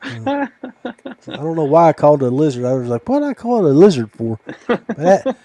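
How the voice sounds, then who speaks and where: Older man, dry close sound, slightly higher voice. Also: sing-song, brisk, dark tone, no echo. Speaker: fishing podcast host. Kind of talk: casual fishing talk.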